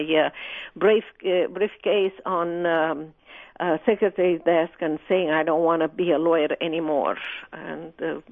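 A woman from Romania, a guest author telling her life story in an interview, speaking hesitantly with many ums. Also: low voice. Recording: tinny phone line.

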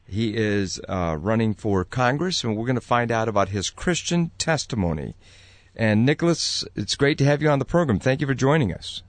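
A male host conducts a conversational interview with animated, widely swinging pitch. His voice sits in a middling register and sounds close and dry, with hardly any room around it. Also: moderate pace, no room sound.

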